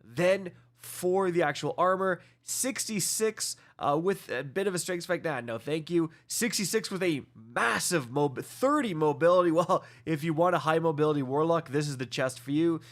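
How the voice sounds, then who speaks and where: A man's voice: on the high side, expressive. A man with a congested nose giving a casual, enthusiastic review of items in a video game, often calling out to viewers as guys. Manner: quick, enthusiastic, fillers like guys and uh. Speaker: gaming video host. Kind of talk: casual gameplay review commentary.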